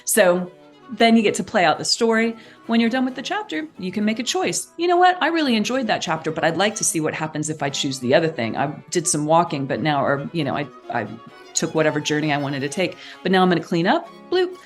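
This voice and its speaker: A woman's voice: on the low side, sing-song, quick pace, soft background music. A woman guest explaining things conversationally and expressively, acting out little examples as she goes. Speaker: podcast guest, artistic director and writer.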